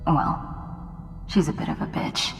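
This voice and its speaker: A woman's voice: measured pace, natural voice. An actress playing a blunt, wry female assassin with a dry, sardonic manner, in a game. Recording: echoey with long reverb, music behind.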